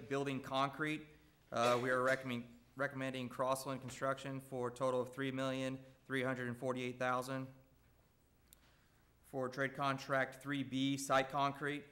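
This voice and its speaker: A man from a construction company presenting bid recommendations at a school board meeting, speaking loudly and steadily with frequent fillers. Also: medium pitch. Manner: loud, steady, even delivery with frequent "uh".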